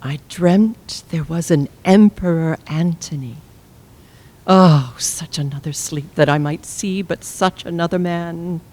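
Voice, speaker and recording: A woman's voice: on the low side, slow pace, a little mellow. A woman playing a grieving, regal Egyptian queen resolved on death, in stately, impassioned classical Shakespearean verse. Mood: rapturous. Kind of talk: classical Shakespearean stage acting.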